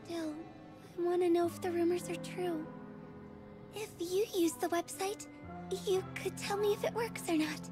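Timid schoolgirl, extremely high voice, visual novel acting, clear music behind. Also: fairly even intonation, normal speed. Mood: hesitant. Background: game music.

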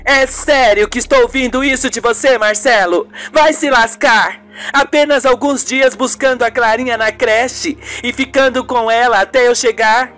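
A performer voicing an exasperated, sharp-tongued young wife and mother, scornful and scolding, in an amateur radio soap. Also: medium pitch, steady tone, normal speed, pitched above the performer's own voice, natural voice. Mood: scornful, angry. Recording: music bed under the voice.

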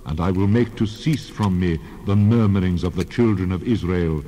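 Solemn, reverberant God. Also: male voice, low voice, normal speed, dark tone. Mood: solemn, commanding. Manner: solemn, declarative. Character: God, a solemn commanding divine lawgiver. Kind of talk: dramatized scripture reading. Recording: long reverb, dull band-limited sound.

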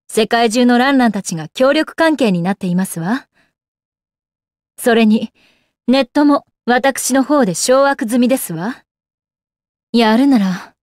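A woman's voice: fairly high pitch, darkish tone, no room sound, studio quality, natural voice. An actress playing a proud, composed young goddess warrior in a game, with refined, ladylike and formal speech. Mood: composed, confident. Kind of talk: Japanese anime game character acting. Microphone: close dry studio microphone.

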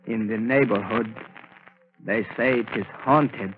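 Slow-spoken manservant, tinny radio. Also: male voice, lowish voice. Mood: servile, uneasy. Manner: halting, deferential, stiff phrasing. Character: slow, servile, superstitious manservant to a cruel master. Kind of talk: 1930s radio drama acting. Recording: tinny, noisy 1930s radio recording.

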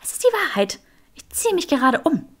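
A clever young witch in an audiobook story, acted out by a woman narrator. She is pitched high, curt and stubborn, with a snappish, exasperated edge. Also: big pitch swings, brisk. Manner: curt, sharp-tongued.

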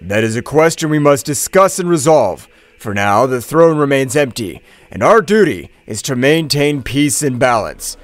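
A man voicing a calm, solemn high priest who speaks in a grave, measured, formal way, in a fan fiction story. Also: natural voice.

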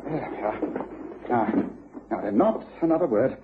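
An actor in a radio drama playing a courteous, commanding British gentleman detective with a brisk, precise, solicitous manner. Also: medium pitch, lively intonation, close to an everyday voice. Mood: concerned, brisk. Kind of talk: BBC radio drama acting. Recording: noisy, muffled old radio recording.

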